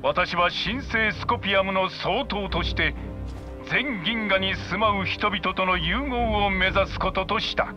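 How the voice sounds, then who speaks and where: A theatrical villain lord who preaches his decree in a high, commanding voice. A loud orchestral score runs under him, as in a game cutscene. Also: highs cut off. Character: grandiose male villain leader broadcasting a decree. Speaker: villain leader. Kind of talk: anime-style game voice acting.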